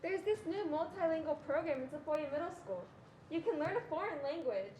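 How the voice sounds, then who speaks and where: A firm, practical mom in reader's theatre acted by a young woman, speaking in a high voice. She sounds distant from the mic in an echoey room. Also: expressive, fairly quick, darkish tone, slight background noise. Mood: insistent. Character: firm, practical mother with plans for her children. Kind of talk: scripted stage reading.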